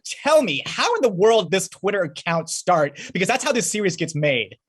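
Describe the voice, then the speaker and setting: High-pitched, lively male interviewer. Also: normal speed, breathy edge. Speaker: entertainment interviewer, host. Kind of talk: upbeat interview hosting.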